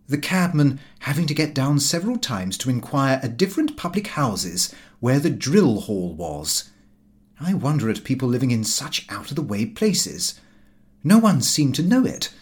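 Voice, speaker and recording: Expressively lilting man reading a comic diary. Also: medium pitch. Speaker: audiobook reader. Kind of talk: scripted narration of a comic diary novel.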